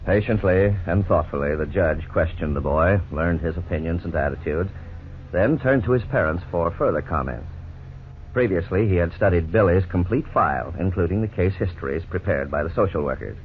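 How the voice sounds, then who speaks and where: A middle-aged man playing a lawyer who narrates and explains the case in a measured, formal way, in 1950s radio drama style. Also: low voice, expressive. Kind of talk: scripted narration. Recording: scratchy 1950s radio broadcast, tinny, faint hiss.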